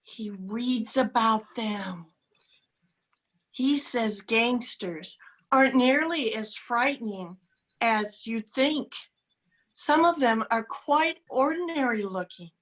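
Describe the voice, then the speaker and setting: A woman's voice: fairly high pitch, expressive, slow, low-quality recording. A woman playing a naive, eager young boy in a radio play, chatting with wide-eyed earnestness. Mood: eager, earnest.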